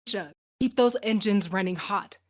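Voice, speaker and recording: A woman's voice: medium pitch, normal speed, a little hiss or hum, close to an everyday voice. A woman playing a tough, protective pilot and loyal sister in a sci-fi audio drama, speaking in a blunt, clipped way. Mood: urgent.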